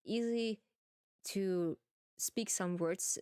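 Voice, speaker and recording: A woman streamer with a German accent, playfully chatting to viewers in simple, halting English while she plays a farming game. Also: medium pitch, dark tone, smooth, no echo, studio quality.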